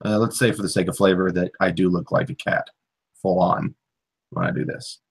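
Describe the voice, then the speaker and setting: A man's voice: low-pitched, fairly even intonation, normal speed, creaky, slightly rough. A man playing a tabletop game, narrating his character's actions in the first person. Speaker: player.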